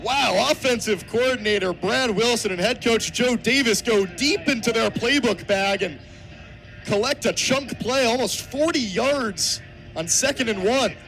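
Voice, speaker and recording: An American man in his twenties calling live football on radio as play-by-play announcer, energetic and vivid. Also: very high-pitched, unhurried, faint music behind, poor audio. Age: 20s. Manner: energetic, vivid, builds to excited shouts on big plays. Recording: outdoor stadium ambience, clear background noise. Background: stadium noise.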